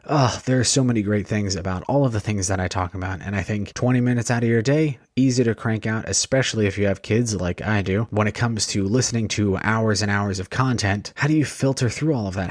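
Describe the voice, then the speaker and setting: A male podcast host chats straight to the listener in a conversational trailer. His voice is fairly low and the sound is dry and close. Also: no room sound.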